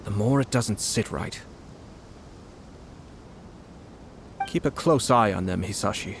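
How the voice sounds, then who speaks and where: An actor playing a composed young noble lord in a video game, speaking with polite, measured formality. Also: male voice, fairly low pitch, creaky, a little hiss or hum. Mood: calm, discreet. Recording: loud game music behind voice. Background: game music.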